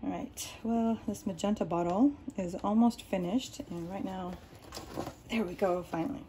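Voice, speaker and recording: Woman, Arabic native speaker, hosting a home-studio painting tutorial; warm, easygoing, rambling delivery. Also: mid-range voice, steady tone, moderate pace, slight background noise. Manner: rambling, many ums and restarts. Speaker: art tutorial host. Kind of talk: casual explaining while working. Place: home art studio, indoors.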